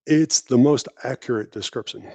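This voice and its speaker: A man's voice: mid-range voice, normal speed, dark tone, no room sound. A man giving conversational interview answers, explaining things plainly in short declarative phrases. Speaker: podcast guest, technology professional and army veteran.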